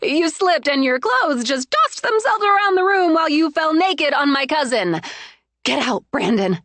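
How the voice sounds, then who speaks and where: A woman voicing a furious, betrayed young woman who shouts in biting, raised tones. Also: sing-song, normal speed, no echo, exaggerated voice. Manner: shouting, biting, loud and high.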